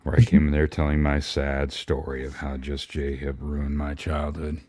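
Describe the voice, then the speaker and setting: A man's voice: extremely low pitch, completely flat delivery, moderate pace, a little mellow, dry recording, clean studio sound. A man chatting casually as a guest on a live stream, telling anecdotes in an informal, salty way.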